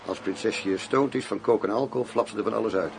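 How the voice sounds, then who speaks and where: Radio play: world-weary undercover agent, dry and wry, gravelly, faint noise behind. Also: male voice, fairly low pitch, quick pace. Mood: measured, concerned. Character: male undercover agent posing as a big gangster boss. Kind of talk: radio drama dialogue.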